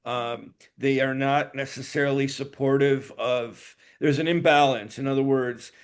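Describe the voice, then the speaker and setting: A man's voice: mid-range voice, steady tone, measured pace, clean tone. A man hosting an interview, asking long thoughtful questions with hesitations and fillers. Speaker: interview host.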